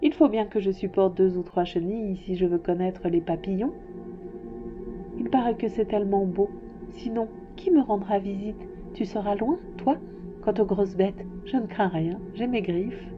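A woman's voice: medium pitch, normal speed, phone-quality sound, over music, close to an everyday voice. Woman voicing a proud, delicate storybook flower. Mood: brave, naive pride. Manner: coquettish, proud, hiding sorrow. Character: proud, fragile female flower, tender but touchy.

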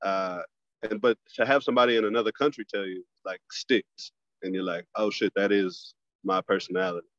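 A man, a stand-up comic, chatting casually as a podcast guest over a phone video call, loose and relaxed, often restarting his phrases. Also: lowish voice, a little fast, dry recording, highs cut off. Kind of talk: casual conversation.

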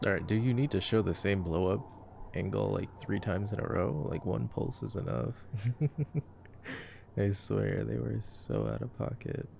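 A man giving casual, playful, wry ASMR commentary as a boyfriend watching a movie, with trailing pauses. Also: fairly low pitch, gravelly, a little mellow, slight background noise. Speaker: ASMR roleplay voice actor playing a boyfriend.

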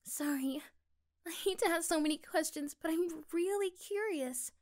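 A woman playing a caring, curious young girlfriend in a soft-spoken, tender ASMR roleplay, breathy at times. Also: extremely high pitch, moderate pace, voice pushed up. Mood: curious, gentle.

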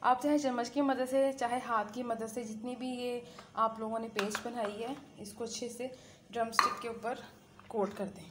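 A woman's voice: a little high, steady tone, normal speed, heavy background noise. A woman hosting a casual home cooking tutorial, chatting as she works and saying a blessing before each step. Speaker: cooking channel host. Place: home kitchen.

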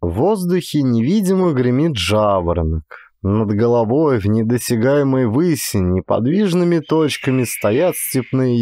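A man reading literary prose as an audiobook narrator, in an expressive, actorly storytelling style. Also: mid-range voice, no echo, studio quality.

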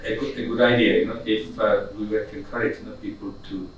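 A man's voice: on the low side, fairly even intonation, fast talker, highs cut off. A middle-aged man giving a public talk to an audience in a community conference room, speaking hesitantly with many fillers and restarts. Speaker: guest lecturer. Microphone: far camera. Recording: echoey room from far off, faint hiss.

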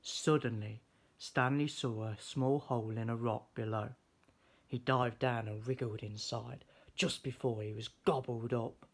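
A man reading a children's picture book aloud in an expressive storytelling style. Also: medium pitch, measured pace, breathy edge. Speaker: storybook reader.

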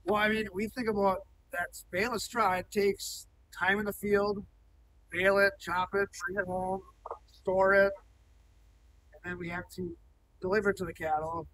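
A man's voice: sits high, flat delivery, moderate pace, fairly bright tone, distant mic, a little hiss or hum, rough recording. A man in his thirties, a cattle farmer talking plainly in an on-site interview in a large barn, with frequent ums and restarts. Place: indoor cattle barn, large, open-sided.

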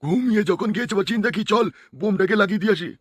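A man's voice: high voice, rapid-fire, noisy background, natural voice. A man voicing a brash, crude, bossy cartoon schemer. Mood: crude, eager. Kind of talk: comic cartoon dubbing.

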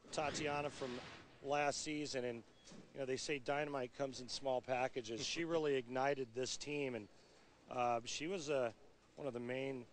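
A middle-aged American man giving analytical bowling commentary in an explanatory, conversational way. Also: mid-range voice. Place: bowling centre during televised championship. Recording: broadcast over loud venue noise. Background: loud bowling venue ambience.

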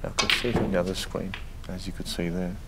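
A man commentating on a live snooker stream in a loud, chatty way, often repeating words. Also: deep voice, fairly even intonation, brisk. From Greek heritage. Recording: noisy live stream audio.

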